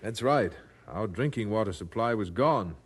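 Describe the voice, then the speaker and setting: An actor playing a devout, courteous, resolute preacher in an audio drama, speaking formally and earnestly. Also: male voice, lowish voice, lively intonation, normal speed, husky.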